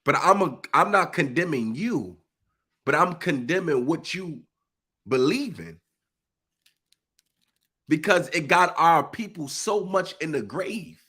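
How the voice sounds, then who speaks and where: Man, congested and a bit husky, emphatic preachy monologue, lively high-ish voice. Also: takes it slowly, pauses often. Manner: preachy, emphatic, uses informal speech. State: congested, stuffy nose. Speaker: live-stream speaker. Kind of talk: impassioned live monologue.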